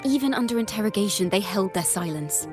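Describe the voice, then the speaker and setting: A gentle, poetic female bard played by a woman speaks in a medium-pitched voice over clear background music. She is earnest and reflective, with measured, expressive lines in a video game style. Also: natural voice. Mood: earnest, grave.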